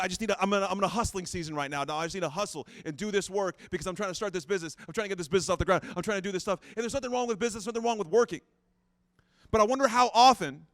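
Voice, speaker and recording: Pastor, late 30s, high clear tenor, dry close mic, chatty and self-deprecating. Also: male voice, fairly bright tone, no room sound. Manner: conversational, self-deprecating, repeats phrases. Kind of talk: sermon.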